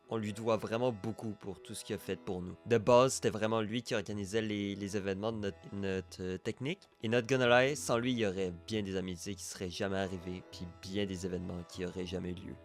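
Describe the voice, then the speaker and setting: Lowish-voiced young Quebec man. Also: fairly even intonation, a little fast, hardly any pauses, faint music behind. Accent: Quebec French. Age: young adult. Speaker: college friend giving a testimonial. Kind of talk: casual informal testimonial.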